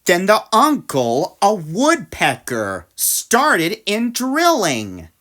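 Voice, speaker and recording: A woman reading a rhyming children's storybook aloud in an expressive narrating style. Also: mid-range voice, very slow. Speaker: storybook reader.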